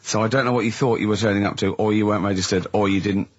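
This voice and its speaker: A man's voice: on the low side, steady tone, racing. A man with a southern English accent, hosting a casual comedy radio show, teasing and explaining things in a conversational way. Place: radio studio. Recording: muffled lo-fi radio recording.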